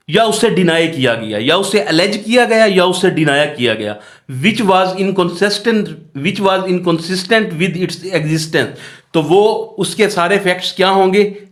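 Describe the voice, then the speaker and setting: A man's voice: high voice, fairly bright tone. A man giving a law lesson, reading out legal text and explaining it methodically with repeated phrases. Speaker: law lecturer.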